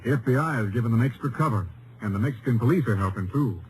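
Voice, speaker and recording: An actor playing a businesslike, authoritative Ranger captain in an old radio western. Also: male voice, medium pitch, lively intonation, fast talker, natural voice. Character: brisk, authoritative Texas Ranger captain at headquarters. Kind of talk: 1950s radio drama acting. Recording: faintly noisy old radio recording.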